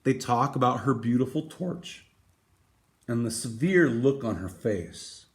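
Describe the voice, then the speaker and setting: A man reading a picture book aloud, with dramatic emphasis and deliberate pauses. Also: mid-range voice, lively intonation. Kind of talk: expressive storybook read-aloud.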